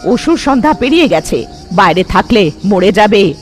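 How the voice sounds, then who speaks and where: A man voicing a grim village aunt in a cartoon, giving ominous, drawn-out warnings. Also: sits high, sing-song, long reverb tail, faint music behind. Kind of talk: cartoon voice acting.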